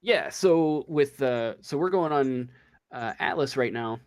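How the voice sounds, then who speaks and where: Male game master, slow delivery, hesitant and feeling for words as he improvises the story. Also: medium pitch, lively intonation. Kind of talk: improvised narration of a tabletop role-playing game.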